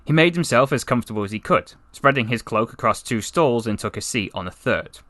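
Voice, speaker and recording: Male storyteller for fantasy audiobook: theatrical, highly varied pitch, bright and forceful tone. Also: a little fast, dry recording. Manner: expressive, theatrical storytelling delivery. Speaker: voice actor reading a story for a portfolio. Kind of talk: dramatic fantasy audiobook narration.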